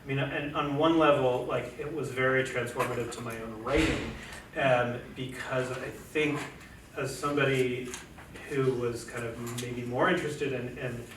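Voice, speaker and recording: A man who is a poet and translator, speaking on a panel in a relaxed, halting way with many fillers and restarts. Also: medium pitch. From United States, family from Chile. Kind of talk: casual panel talk. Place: lecture room. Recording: echoey room, distant mic, noisy.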